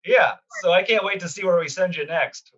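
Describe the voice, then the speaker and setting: A man's voice: high voice, a bit bright, slight background noise. Teasing male co-host, dull audio. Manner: quick teasing interjections. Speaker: podcast co-host. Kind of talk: casual comedic chat.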